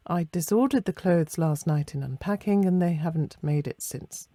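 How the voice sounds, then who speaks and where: A fussy scholarly gentleman from a storybook, acted out by a woman, prim and a little indignant. The delivery is hesitant and puzzled, with a mellow tone. The sound is close and dry. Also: lowish voice, normal speed, dry recording, natural voice.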